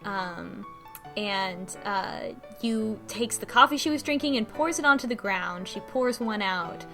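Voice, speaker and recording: A woman's voice: medium pitch, expressive, a little slow, a bit bright. A woman co-hosting a podcast, casually recapping a story and informally acting out the characters' lines. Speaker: podcast co-host recapping a visual novel. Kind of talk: casual chat, plot recap from notes. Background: music under the talk.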